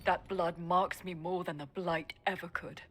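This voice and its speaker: An actress playing a remorseful female warrior who gravely condemns herself in a game. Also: fairly low pitch, steady tone, measured pace, faint music behind, natural voice. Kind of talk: video game voice acting.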